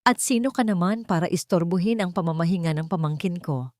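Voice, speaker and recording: A woman voicing a shrill, haughty rich aunt who talks down to others with commanding scorn. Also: fairly quick, a little mellow, no room sound, studio quality, lightly acted. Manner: mocking, commanding.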